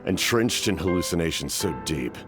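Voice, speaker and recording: An actor playing a stern, stoic captain in a video game, speaking in a grave, measured and formal manner. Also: male voice, low voice, animated. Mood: grave, composed. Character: stern, stoic, commanding dark captain of great age. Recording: game dialogue over music.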